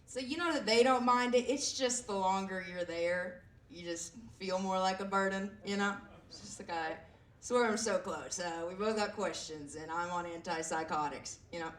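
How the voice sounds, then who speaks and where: A woman in her twenties with a raspy voice, performing a self-deprecating stand-up set with lots of fillers and halting restarts. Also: medium pitch. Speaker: stand-up comedian. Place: comedy club stage. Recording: echoey room heard from a distance.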